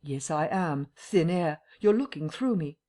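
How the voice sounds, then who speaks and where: A woman reading an audiobook voices an impatient, commanding invisible man who speaks in a quiet, clipped, insistent way. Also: low-pitched, expressive, a little slow. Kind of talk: audiobook character voice.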